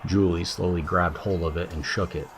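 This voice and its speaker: Deep-voiced man narrating a fantasy story. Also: steady tone, normal speed, a little hiss or hum. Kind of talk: scripted fantasy audiobook narration.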